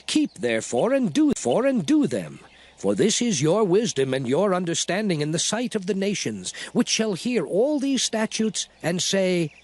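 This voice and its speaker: A man's voice: high-pitched, big pitch swings, moderate pace. An actor playing a solemn biblical prophet, declaiming scripture to his people in archaic, oratorical style. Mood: exhorting, earnest. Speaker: dramatized scripture reader. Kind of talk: dramatized King James Bible reading.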